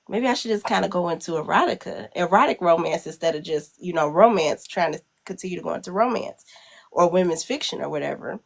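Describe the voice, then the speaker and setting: A woman's voice: lowish voice, gravelly. Congested woman rambling with fillers. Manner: rambling, hesitant, many fillers. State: congested from a cold. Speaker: guest author. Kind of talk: casual interview chat.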